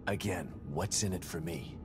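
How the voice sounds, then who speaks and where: A man playing a gruff, weary, determined game protagonist, speaking tersely and dryly in a low voice. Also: normal speed, music in the background, natural voice. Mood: weary, wry. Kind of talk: video game cutscene acting.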